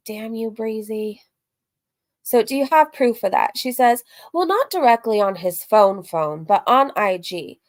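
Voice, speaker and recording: Woman, high-pitched voice, casual livestream recap, reads messages aloud, rambling, candid, frequent asides. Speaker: streamer and host of a reaction livestream.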